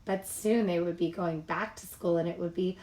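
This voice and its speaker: A woman reading a picture book aloud to young children in an animated, expressive way, with casual asides. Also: lowish voice, moderate pace.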